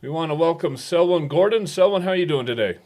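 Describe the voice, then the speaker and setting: A man's voice: a little high, lively intonation, quick pace, darkish tone. A man hosting a podcast, introducing the show and putting questions to his guest in a conversational style. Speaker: podcast host.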